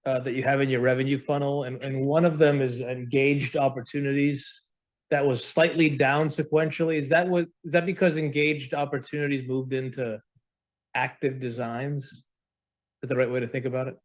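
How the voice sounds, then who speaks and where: Polite male analyst, medium pitch. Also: little pitch movement, moderate pace. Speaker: financial analyst. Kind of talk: earnings call questioning.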